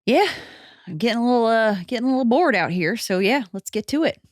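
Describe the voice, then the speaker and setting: A confident, sassy cowgirl gunfighter in a tabletop western, played by a woman. The delivery swings widely in pitch as it taunts and challenges, and the sound is dry and close. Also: animated, fast, dry recording, natural voice. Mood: cocky, taunting.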